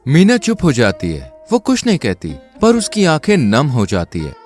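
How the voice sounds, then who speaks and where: Expressive male story narrator. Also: medium pitch, moderate pace, soft background music.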